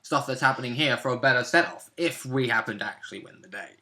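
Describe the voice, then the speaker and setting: A young man with a bright, sharp voice at a middling pitch chats casually about a tabletop game session. He sounds like a player co-presenting from a small room at home, on a webcam. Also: fast. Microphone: webcam at arm's length.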